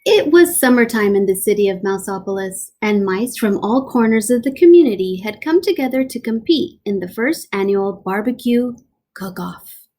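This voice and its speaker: A woman reading a children's picture book aloud like a teacher, dramatic and deliberate, with playful asides. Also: mid-range voice, animated, dark tone.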